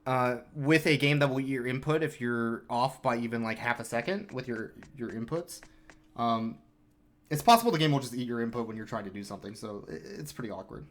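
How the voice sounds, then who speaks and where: A man's voice: medium pitch, brisk. Wry young man commentating a speedrun. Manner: wry, self-deprecating, explanatory. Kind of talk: casual gameplay commentary. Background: game music.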